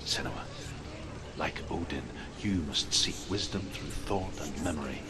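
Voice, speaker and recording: An actor playing a grave storyteller of Norse legends, speaking in a measured, portentous way in a video game. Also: male voice, rumbling low, heavy background noise. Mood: solemn, grave. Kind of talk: solemn mythic storytelling.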